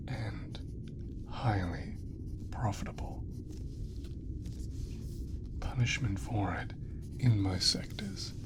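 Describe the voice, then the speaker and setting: Man acting a low, whispering gentle sci-fi caretaker. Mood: grave, hushed. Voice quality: breathy whisper. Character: gentle, thoughtful male caretaker in a sci-fi world. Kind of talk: whispered ASMR roleplay.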